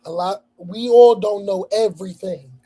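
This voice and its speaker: A man hosting a casual livestream, hoarse, speaking bluntly with a lot of profanity and repetition. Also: high voice, steady tone, moderate pace, mellow. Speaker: livestream host.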